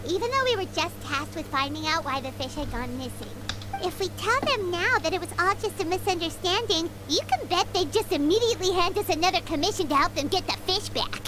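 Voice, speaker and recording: Very high-pitched chirpy little fairy sidekick. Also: female voice, lively intonation, a little fast. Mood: eager, confident. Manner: exclamatory, self-assured, speaks of self in third person. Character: small chirpy childlike fairy companion, eager and chatty. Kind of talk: anime video game dialogue. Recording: game music behind the voice.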